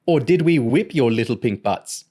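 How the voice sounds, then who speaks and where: A man's voice: mid-range voice, expressive, moderate pace, warm tone, breathy edge. A man voicing a brash, gloating teenage girl with a thick Jamaican accent in an audiobook. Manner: whooping, taunting. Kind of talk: audiobook character voice.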